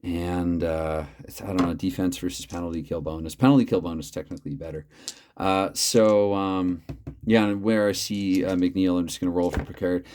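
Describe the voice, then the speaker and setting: A man with a low, naturally loud voice calls a tabletop hockey game from home. He slips between mock arena play-by-play and casual muttering over the dice math, keeping his volume in check. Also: steady tone, moderate pace, slight background noise. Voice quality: loud voice, holding back. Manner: chatty, thinks aloud over the dice math. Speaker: host narrating a tabletop hockey replay.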